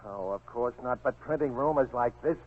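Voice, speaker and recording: A man's voice: medium pitch, moderate pace, breathy edge, close to an everyday voice. A man playing an anxious, pleading American newspaper owner in a 1940s radio drama, with a flustered manner. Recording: noisy, muffled 1940s radio broadcast.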